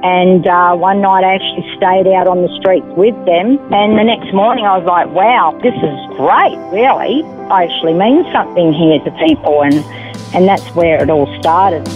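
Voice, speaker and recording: A woman's voice: fairly low pitch, over music. Australian woman telling her story over a tinny phone line. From Perth, Western Australia. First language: Italian. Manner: unhurried and hesitant, with frequent ums and "you know". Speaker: interview guest. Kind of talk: personal testimony in a conversational interview. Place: her home.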